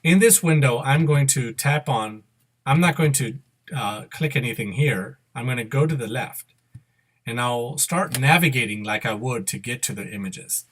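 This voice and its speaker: A middle-aged man walks through a computer task step by step. His pitch sits in the middle range for a man. He speaks without a script, in a halting, instructional way, restating and correcting as he goes. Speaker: software tutorial presenter. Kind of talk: step-by-step screen demo narration. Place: indoor room at a computer.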